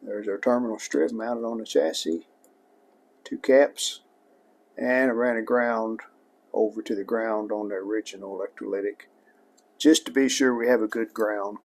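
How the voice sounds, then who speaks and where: A man giving a casual repair demonstration, plainspoken and unhurried, speaking in short phrases as he works. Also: on the low side. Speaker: host of a vintage electronics repair channel.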